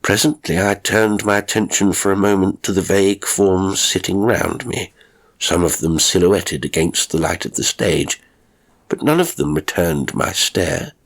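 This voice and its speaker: A man narrating a first-person strange story from a script, with dramatic, expressive delivery and deliberate pauses. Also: low voice. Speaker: audiobook narrator of strange stories.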